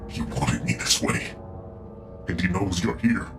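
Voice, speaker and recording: A man playing a tormented captive agent in a video game, speaking in a halting, strained and pained way. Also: deep voice, big pitch swings, vocal fry, noisy background, heavily acted. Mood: anguished. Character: tormented captive male agent turned into a power guard. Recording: music behind the voice.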